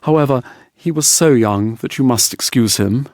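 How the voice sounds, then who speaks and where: A man's voice: mid-range voice, moderate pace. A man reading a storybook aloud as an audiobook narrator, in scripted narration.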